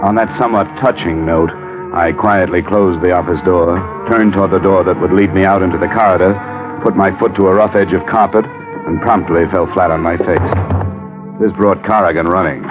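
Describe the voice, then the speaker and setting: Low-voiced, deadpan radio detective played by a man. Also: moderate pace, natural voice. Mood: wry narration. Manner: dry, deadpan, sardonic. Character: wry, cool insurance investigator narrating his own case. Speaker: insurance investigator. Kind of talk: 1950s radio detective acting, hard-boiled narration. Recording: muffled vintage radio broadcast with music.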